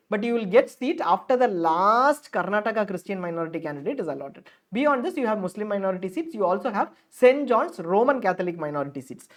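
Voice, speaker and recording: Fast-talking woman explaining a form. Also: medium pitch. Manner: explanatory, repeats and rephrases points with frequent fillers. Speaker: counselling guide presenter. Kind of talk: screen-share tutorial walkthrough.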